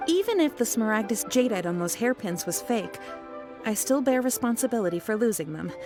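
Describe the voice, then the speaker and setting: A woman's voice: a little high, expressive, a little fast, over music. A woman voicing a courteous, earnest young businesswoman in an anime game, formal and composed. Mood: hesitant, conciliatory.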